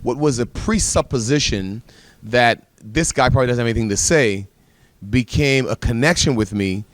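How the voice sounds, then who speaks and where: A man's voice: medium pitch, normal speed, no room sound. A man with a mid-range voice tells stories earnestly as a guest on a TV panel. He repeats phrases for emphasis. The recording is dry and close, with no room sound. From Bahamas. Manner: earnest storytelling with emphatic repetition. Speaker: pastor and guest speaker. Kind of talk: TV panel discussion.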